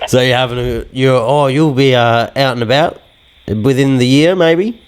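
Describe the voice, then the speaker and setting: A man's voice: medium pitch, moderate pace, noisy background. A young man with an Australian accent hosting a podcast in casual chat, jokey, blunt and sweary. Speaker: podcast host.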